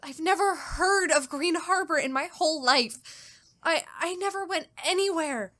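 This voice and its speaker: Actress doing a scared genteel young lady held captive, high voice, faltering, old radio suspense melodrama. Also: moderate pace, pushed and bright. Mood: pleading. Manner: faltering, repeats words, melodramatic. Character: terrified captive young woman, genteel, anxious, pleading. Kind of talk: old-time radio style suspense drama, diary monologue.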